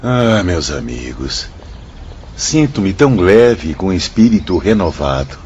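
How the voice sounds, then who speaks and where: A man's voice: low-pitched, big pitch swings, slow pace, a little mellow, full character voice. An actor with a Brazilian accent playing an earnest, self-doubting, sorrowful male spirit in a radio drama. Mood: wistful anguish. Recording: muffled lo-fi track, ambient noise.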